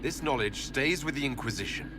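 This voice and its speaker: A man's voice: medium pitch, animated, brisk, background noise, close to an everyday voice. A man voicing a wary, resolute leader in a fantasy game, speaking firmly and earnestly.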